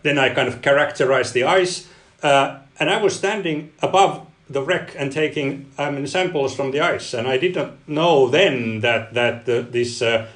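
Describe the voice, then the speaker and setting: A man whose first language is Finnish, a guest researcher answering interview questions in a halting way, often repeating words. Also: medium pitch, fairly bright tone, reverberant. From Finland. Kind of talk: podcast interview.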